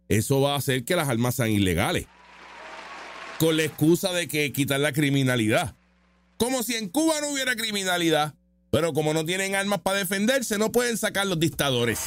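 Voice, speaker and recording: A man's voice: a little high, no echo. A man giving casual, opinionated reaction commentary, mocking and full of rhetorical questions. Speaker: political commentator reacting to street interview clips.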